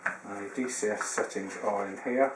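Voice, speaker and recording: A man's voice: fairly low pitch, fairly even intonation, moderate pace. A man giving an informal hands-on product tutorial, explaining step by step in a slightly hesitant way. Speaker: product demonstrator. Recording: roomy echo with background hiss.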